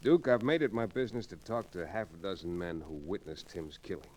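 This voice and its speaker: An actor playing a cool, steady frontier lawyer in an old-time radio western, speaking in a measured, understated way. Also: male voice, low-pitched. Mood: cool, probing. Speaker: lead actor and narrator. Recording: noisy old radio recording.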